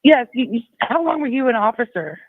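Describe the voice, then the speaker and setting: A man's voice: extremely high pitch, animated, fairly quick, no room sound. A man calling into a live show, insistent and rambling, talking over the host. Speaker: caller. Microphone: phone. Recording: phone line, dry.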